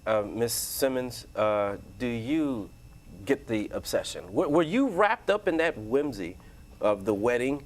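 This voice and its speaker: A man's voice: mid-range voice, lively intonation, unhurried. A man hosting a comedic panel discussion, teasing guests and laughing often. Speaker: talk show host.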